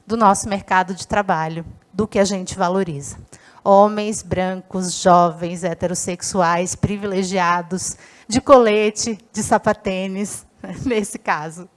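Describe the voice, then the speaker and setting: A woman's voice: mid-range voice, slow. A woman giving a short talk and then moderating a panel, speaking emphatically. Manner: emphatic, lively.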